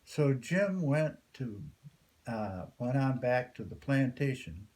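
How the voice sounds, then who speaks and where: A man's voice: medium pitch, expressive, slow pace, darkish tone. An older man reading a folktale aloud as a storyteller.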